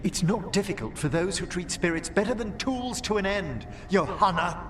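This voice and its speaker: An actor playing an earnest, learned older professor of necromancy in a video game, with refined, formal, precise diction. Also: male voice, sits high, lively intonation, moderate pace, noisy background. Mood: earnest, resolute. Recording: music behind the voice.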